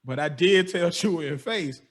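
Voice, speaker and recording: A young man with a notably high voice, telling a story on a podcast. He talks in loose, slangy, animated bursts and laughs along with his own tale. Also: moderate pace. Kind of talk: casual storytelling chat.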